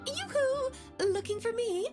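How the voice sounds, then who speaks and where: A woman's voice: very high-pitched, expressive, unhurried. A woman playing a sly, motherly mage in a video game, coy and theatrical, with music behind her. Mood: playful.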